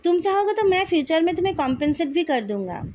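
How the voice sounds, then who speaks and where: An Indian-accented woman voicing a young male company president in a novel, calm, measured and coolly taunting. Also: very high-pitched, a little hiss or hum, voice pushed up.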